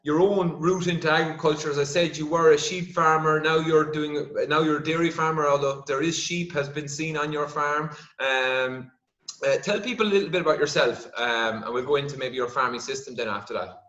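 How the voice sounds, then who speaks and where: A man's voice: a little high, fairly even intonation, a little fast, fairly bright tone. Irish man hosting in an echoey room. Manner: hesitant, repeats words while building questions. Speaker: podcast host, vet. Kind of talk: conversational interview.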